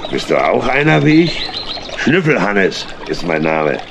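A hearty old rogue of a tramp in a children's audio play. He is boastful and chummy, talks in slow, rolling phrases and swoops up and down with great animation. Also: lowish voice, big pitch swings, creaky, background noise, full character voice. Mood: chummy, jovial. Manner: hearty, folksy, sprinkled with chuckles and exclamations. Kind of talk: German children's audio drama acting.

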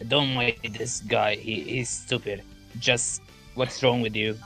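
A crude magic sword sidekick played by a man, bright and forceful at a middling pitch. It gives short, blunt, exasperated remarks in an improvised fan dub, with faint music underneath. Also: fairly even intonation, slow pace.

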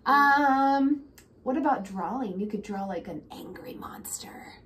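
A woman's voice: sits high, expressive, unhurried, a bit bright. A woman in her thirties hosting a casual lesson for young children, encouraging them and prompting with questions. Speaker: children's read-aloud host. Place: small room at home, indoors. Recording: noisy room ambience.

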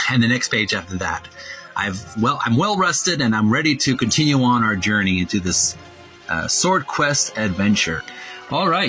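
Man, medium pitch, video host, casual narration and reading aloud, halting delivery, faint music behind. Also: fairly bright tone.

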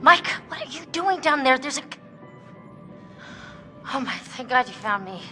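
An actress playing a frightened but sharp young woman in a horror game, with a hushed, urgent delivery. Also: high voice, lively intonation, normal speed. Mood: shaken relief. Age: young adult. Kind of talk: breathy, naturalistic dramatic game acting. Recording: loud game music behind the voice.

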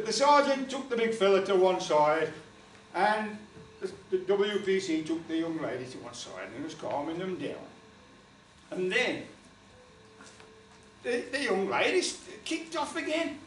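A man's voice: sits high, a little hiss or hum. Older man telling a rambling comic tale. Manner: chatty, rambling, lots of "you know" asides; gestures broadly while standing. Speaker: storyteller. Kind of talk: comic spoken-word tale. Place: small folk club room, indoors. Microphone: far camera. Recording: roomy, distant camera audio.

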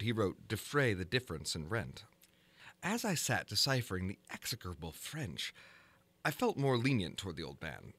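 A man reads gothic fiction as a dramatic narrator. His voice is fairly low yet bright and forceful, and it moves expressively through formal, measured phrases. The sound is dry, with no room around it. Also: no room sound. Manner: formal, measured, theatrical delivery. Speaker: narrator reading a story. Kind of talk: dramatic audiobook reading of gothic horror fiction.

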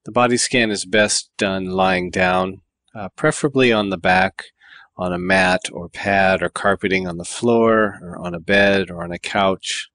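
A man leading a guided meditation in a calm, measured way, with deliberate phrases and pauses between them. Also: low voice, no echo. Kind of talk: guided body scan meditation.

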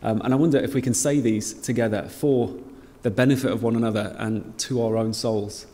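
Man, 30s, informal church host, middle pitch, echoey hall. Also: steady tone, brisk, dark tone. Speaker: church leader. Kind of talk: leading prayers and dismissal. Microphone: stand mic. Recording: long hall reverb, faint noise.

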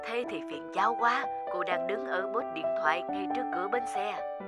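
A woman's voice: mid-range voice, fairly quick, loud music behind the voice, close to an everyday voice. A woman voicing a kindly, polite and grateful mother in a cartoon. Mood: gentle, grateful. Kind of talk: cartoon voice acting.

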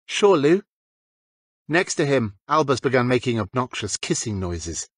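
Male fiction narrator, husky texture, medium pitch, close dry recording. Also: expressive, moderate pace, fairly bright tone, no room sound. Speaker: audiobook narrator.

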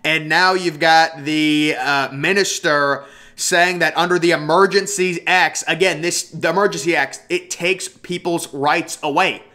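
A young man speaks into a desk webcam setup in a room at home. His voice sits a little high and rings bright and pushy. He rants with indignation, rushing through his points and restarting his sentences. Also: fairly even intonation. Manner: indignant, rapid ranting with frequent restarts. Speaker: political commentary vlogger. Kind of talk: opinionated screen-share commentary.

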